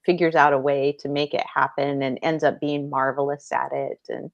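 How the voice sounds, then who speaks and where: Low-voiced woman discussing books. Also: little pitch movement, normal speed, muffled recording. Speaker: book discussion panelist. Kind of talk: casual book discussion.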